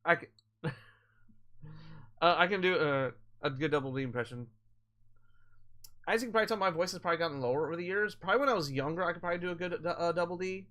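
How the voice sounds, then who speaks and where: A man live-streaming a game, with a voice a little higher than most men's. He explains tech questions to viewers in a loose, rambling way, often restarting mid-sentence and stacking fillers. His tone is laid-back and chatty. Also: fast, pauses often, pushed and bright. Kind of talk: casual live-stream chat answering viewers.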